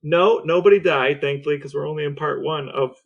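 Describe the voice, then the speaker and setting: A man chatting casually as a podcast co-host, explaining things in a halting, conversational way. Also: a little high, fairly even intonation, gravelly, highs cut off.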